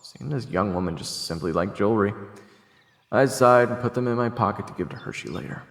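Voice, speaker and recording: Guy doing a calm courteous investigator, low voice, lots of echo, audio drama style. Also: close to an everyday voice. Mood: reflective. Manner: measured, polite, low-key. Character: calm, courteous male investigator telling his own tale. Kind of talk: audio drama dialogue and first-person narration. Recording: echoey, long reverb, music behind.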